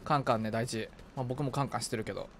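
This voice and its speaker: A man streaming a video game in casual co-op chat, reacting playfully and excitably and often talking to himself as he plays. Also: medium pitch, very fast, a little hiss or hum.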